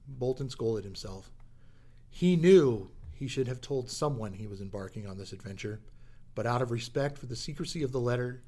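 A man delivers dramatic scripted narration for an adventure radio play. He has a medium-pitched voice and a theatrical storytelling manner.

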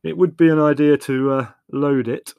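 A man's voice: medium pitch, moderate pace, no echo, lo-fi sound, pitched above the performer's own voice, close to an everyday voice. A man voicing a blunt, hot-tempered, scolding young man in an audiobook story. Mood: dry triumph. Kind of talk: audiobook character voice.

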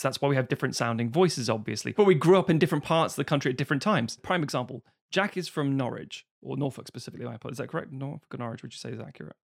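A man's voice: medium pitch, creaky. A man co-hosting a podcast, ranting in casual chat and swearing freely. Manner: swears freely, animated rants. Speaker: podcast co-host.

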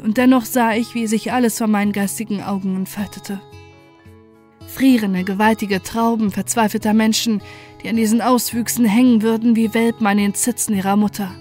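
Casting: actress for horror story narrator, higher register, intense and horrified, dramatic first-person delivery. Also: female voice, a little mellow, natural voice. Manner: dramatic, intense, dread-laden. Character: desperate, horrified narrator confronting a mad former friend.

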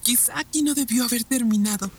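A woman's voice: a little high, brisk, slight background noise, lightly acted. A woman playing a cynical, hostile suspect in an audio drama.

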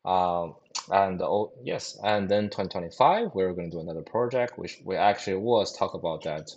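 A man answering interview questions as a company founder, halting, with frequent fillers and restarts. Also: low voice, animated, darkish tone, highs cut off. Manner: hesitant, many fillers and restarts, non-native phrasing.

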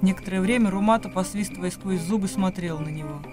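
High-pitched male narrator over music. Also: fast talker. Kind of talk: literary narration in a radio play.

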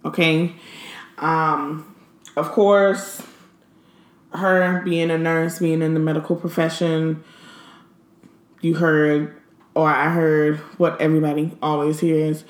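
Thirties woman, frank indignant vlog monologue, slightly low voice, roomy echo. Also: flat delivery. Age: 30s. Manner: emphatic, indignant, stresses words slowly. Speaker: pregnant vlogger. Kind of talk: casual venting monologue.

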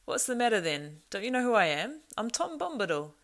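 A woman's voice: fairly high pitch, sing-song, brisk, a bit bright, voice pushed up. A woman voicing a jolly, boisterous old man of the woods from a storybook, with a rhyming, sing-song and exclamatory delivery. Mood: brisk, hearty. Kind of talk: storybook character voice.

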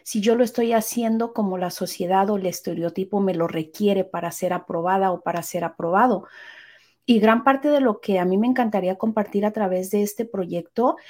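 A woman's voice: mid-range voice, moderate pace, a little mellow. Encouraging woman podcast co-host. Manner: encouraging, articulate, coaching tone. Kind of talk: casual podcast conversation.

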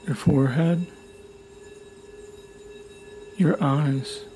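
A man leading a guided meditation in a soothing, deliberate way, leaving long pauses between short phrases. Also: a little high, steady tone. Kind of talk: guided meditation, gentle instruction. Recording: loud humming meditation tones throughout. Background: gentle music with sine tone and singing bowls.

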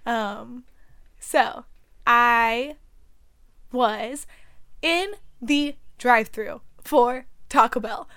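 A young woman with a raspy voice, chatting as a podcast co-host, rambling and giggling with lots of fillers. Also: on the high side, drawn-out words, pauses often, a bit bright, no room sound, studio quality. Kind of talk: casual chat.

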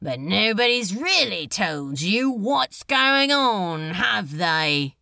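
A woman's voice: mid-range voice, big pitch swings, slow pace, vocal fry, dry recording, studio quality, heavily acted. A woman voicing a mischievous, casual male troublemaker in an audiobook, with a showy, mock-apologetic manner. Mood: breezy, loud. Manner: casual, mock-apologetic, loud, drawn to showmanship. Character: brash, breezy, mischievous male troublemaker addressing a crowd. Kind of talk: acted audiobook dialogue.

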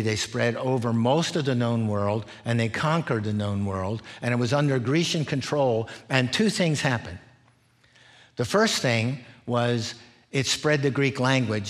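Male preacher, middle-aged, mid-pitched voice, explanatory teaching delivery with frequent check-in questions. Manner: explanatory, often checks in with "right?" and "okay?". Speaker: preacher, former missionary. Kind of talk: expository sermon, teaching in numbered points.

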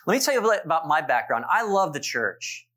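A man's voice: on the high side, very animated, quick pace. A man in his forties preaching in church, telling stories with asides to the congregation. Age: 40s. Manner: warm storytelling with asides to the congregation. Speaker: pastor. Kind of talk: sermon.

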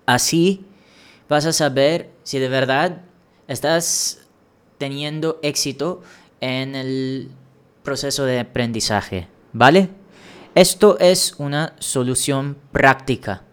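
A man from India hosting a language-learning podcast, giving advice in a measured, encouraging solo talk with a few small restarts. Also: medium pitch, smooth.

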